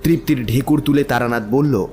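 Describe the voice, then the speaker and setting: A man's voice: mid-range voice, a little fast, mellow, soft background music. A man narrating an audiobook story from a script.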